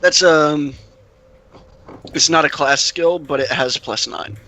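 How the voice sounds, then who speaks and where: A man's voice: fairly high pitch, slow pace, pushed and bright, slight background noise. A man playing a tabletop game, chatting casually and thinking aloud as he explains the rules.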